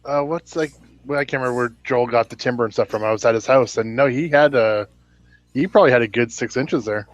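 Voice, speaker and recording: A man's voice: mid-range voice, fast, highs cut off. A man chatting casually in a group, rambling and losing his thread mid-story.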